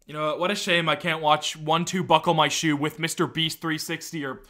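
A man's voice: a little high, flat delivery, brisk, bright, forceful tone, faint music behind. A man delivering scripted, sarcastic video commentary in a wry, irreverent way, as a YouTube commentator.

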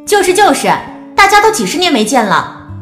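A woman's voice: very high-pitched, sing-song, a little fast, fairly bright tone, exaggerated voice. A woman playing an eager, chirpy young former classmate in an audiobook drama. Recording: echoey effect over faint music.

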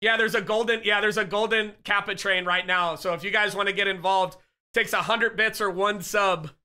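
A man in his thirties streams from home on a headset, shouting excited, profane greetings to his viewers. His voice is high and very bright and forceful, though it is wearing thin as he gets sick. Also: moderate pace, smooth. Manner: loud, excitable, profane shout-outs to chat. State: losing his voice, getting sick. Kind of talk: casual live-stream chat.

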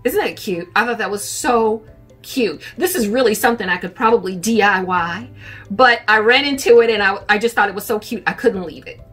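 A woman's voice: mid-range voice, expressive, a little fast, a bit bright, husky, faint music behind. A middle-aged woman chatting casually to camera as a vlogger, recorded indoors in a room at home. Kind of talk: casual shopping haul chat to camera.

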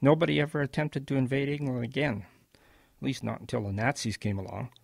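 An actor playing a kindly old grandfather with a creaky, husky voice, telling wartime memories gently and with animation in a radio drama. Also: mid-range voice, fairly even intonation, brisk, no echo, clean studio sound. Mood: animated reminiscing.

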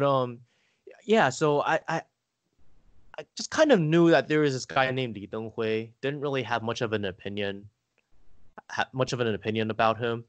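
Man chatting, halting and close-miked. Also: medium pitch, no echo. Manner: halting, rambling, peppered with "like". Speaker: podcast guest. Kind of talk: casual conversational storytelling.

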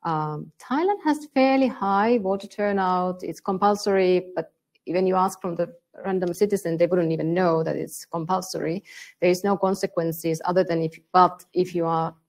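A woman from Finland with a Finnish accent, answering on a conference panel, thoughtful and pausing often with fillers. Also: fairly low pitch, darkish tone. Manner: thoughtful, searching for words with many fillers. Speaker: panelist on elections. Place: conference room with a panel on stage.

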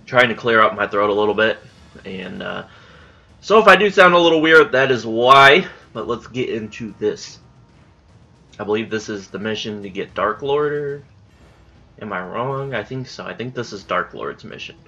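A man's voice: lowish voice, expressive, moderate pace, creaky, pushed and bright, highs cut off. A man hosting casual gameplay commentary, sounding stuffy in the nose after just waking up, chatty and self-deprecating. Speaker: gaming channel host. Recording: game sound and gunfire behind.

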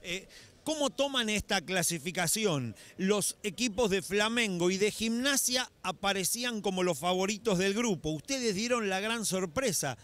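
A middle-aged man speaks into a handheld mic at the side of a basketball court. His voice sits high for a man, and his questions run long and formal. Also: expressive, moderate pace, fairly bright tone. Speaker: courtside interviewer. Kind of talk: post-game sports interview. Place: indoor basketball arena.

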